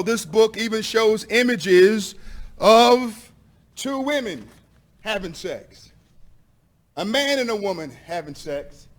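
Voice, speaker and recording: A man speaking as a pastor at a public meeting, delivering an impassioned speech in an emphatic, deliberate, confrontational way. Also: high-pitched.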